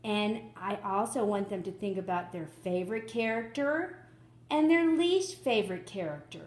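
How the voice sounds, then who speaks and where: A woman's voice: medium pitch, expressive, moderate pace, reverberant. A woman hosting a children's read-aloud, chatting casually in an encouraging, exclaiming way. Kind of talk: casual chat addressed to children.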